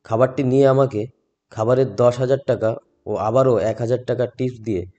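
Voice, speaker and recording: Male first-person horror narrator, soft dark tone, music underneath. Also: medium pitch, steady tone, moderate pace, lo-fi sound. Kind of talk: scripted horror story narration. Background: background music.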